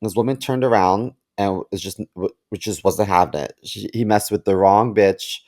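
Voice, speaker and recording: A man telling stories haltingly in a casual, comedic podcast conversation. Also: fairly low pitch, a little mellow. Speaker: podcast host.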